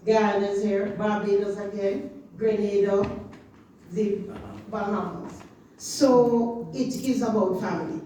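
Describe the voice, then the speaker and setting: A woman's voice: medium pitch, fairly even intonation, takes it slowly, mellow, slight background noise. Jamaican woman, public speaker, impassioned, echoey hall, distant mic, faint ambience. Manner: impassioned, rhythmic emphasis, rising to chant-like slogans. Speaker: regional organization official speaker. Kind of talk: public talk at a community meeting.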